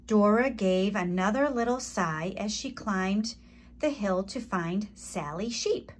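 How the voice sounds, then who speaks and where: Female storyteller for children's read-aloud: deliberate, expressive, slow pace, mid-pitched. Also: a little hiss or hum.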